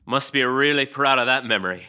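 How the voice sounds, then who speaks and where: A man plays a wry interrogator in an audio drama. His voice is extremely bright and cutting at a medium male pitch. He needles with dry, colloquial taunts and a mock-friendly air. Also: brisk, clean tone. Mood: disgusted, taunting. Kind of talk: audio drama table read.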